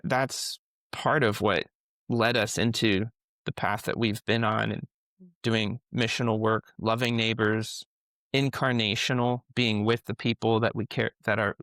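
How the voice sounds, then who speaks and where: A man talks earnestly as a podcast guest, pausing and restarting as he gathers his thoughts. His voice has a gravelly edge and sits at an ordinary pitch, recorded dry and close. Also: a bit bright, no echo. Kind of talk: casual conversation.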